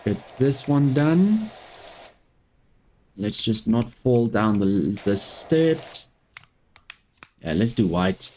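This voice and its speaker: Man, Afrikaans speaker, unhurried, mid pitch, casual game commentary. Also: a little hiss or hum. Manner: relaxed, talking to himself while playing. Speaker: gaming YouTuber.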